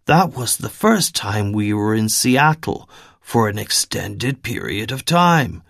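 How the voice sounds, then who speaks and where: A man doing a gentle amnesiac young man. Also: on the low side, big pitch swings, slow, creaky, no room sound, studio quality, exaggerated voice. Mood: reflective. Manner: gentle and pleading. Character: polite young man who has lost his memory.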